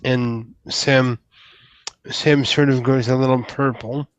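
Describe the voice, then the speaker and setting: A man's voice: mid-range voice, steady tone, takes it slowly, clean tone, no room sound, muffled recording. A man improvising narration as a player in a tabletop roleplay, hesitant and searching for his words.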